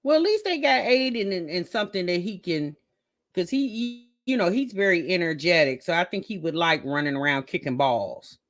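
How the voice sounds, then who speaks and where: Woman, American, lively varied pitch, gossipy, sassy, casual host commentary. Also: normal speed. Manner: animated, sassy, conversational. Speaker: host reacting to a family vlog.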